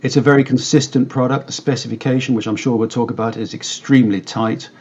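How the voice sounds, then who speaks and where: A British man giving measured, articulate explanations as an expert guest in a conversational interview. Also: mid-range voice, steady tone, a little mellow, slightly rough, lo-fi sound. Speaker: company director interviewed as guest.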